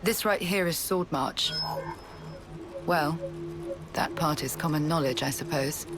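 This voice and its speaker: An actor playing a calm, authoritative colony leader in a video game, speaking in a measured, formal and explanatory way. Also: male voice, fairly high pitch, over music, natural voice. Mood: composed, instructive. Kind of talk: scripted video game cutscene acting.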